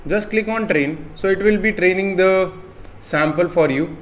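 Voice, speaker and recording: A man with an Indian accent presenting a step-by-step software tutorial. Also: high voice, unhurried, slight background noise.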